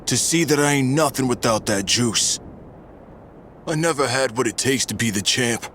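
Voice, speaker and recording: A man's voice: medium pitch, normal speed, fairly bright tone, a little hiss or hum, natural voice. An actor playing a humbled male fighter and former champion in a video game, speaking earnestly.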